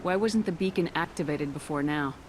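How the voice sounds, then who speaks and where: A woman's voice: low-pitched, fairly quick, noisy background, close to an everyday voice. A woman playing a cool, direct military commander in a video game, businesslike and questioning.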